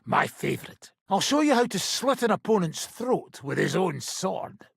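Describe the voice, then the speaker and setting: An actor playing a boisterous, hard-drinking mercenary swordsman in a video game, with a gravelly British voice and a rough, teasing manner. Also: sits high, sing-song, normal speed, fairly bright tone, dry recording, clean studio sound, heavily acted. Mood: instructing, teasing. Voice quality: gravelly, raspy. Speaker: combat trainer. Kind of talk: video game character dialogue.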